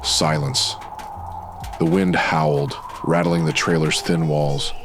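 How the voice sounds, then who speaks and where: A man with a very deep voice reading scripted noir narration as a storyteller. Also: level tone, slow. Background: music.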